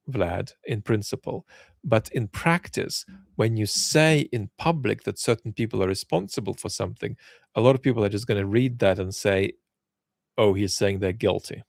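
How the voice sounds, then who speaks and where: Low gravelly man, dry close sound. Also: darkish tone, no echo. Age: adult, lived in Israel as a child aged nine to twelve. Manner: thoughtful, repeats words when restarting. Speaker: channel host answering viewer questions. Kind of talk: livestream Q&A commentary.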